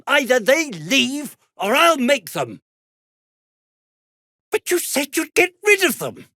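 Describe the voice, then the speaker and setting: Game role: a self-important, slippery British toy maker. Theatrical and wordy, slow delivery with big melodic swings, close dry sound. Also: male voice, very high voice, bright, forceful tone, no echo, full character voice. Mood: indignant. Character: fussy, irritable, self-important toy maker, male, slippery and easily flustered. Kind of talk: dramatic video game dialogue.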